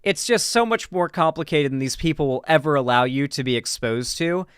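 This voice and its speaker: A man with a clear, smooth voice in a dry, close recording. He talks off the cuff like a streamer reacting to something, informal and emphatic, restarting phrases and dropping swear words. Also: fairly high pitch, fairly quick, no echo. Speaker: political commentary streamer. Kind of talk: casual reaction commentary, unscripted.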